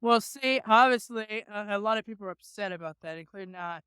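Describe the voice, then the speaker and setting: A rally attendee interviewed outdoors, speaking emphatically with halting fillers. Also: medium pitch, pushed and bright, smooth, no echo, studio quality. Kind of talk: street interview.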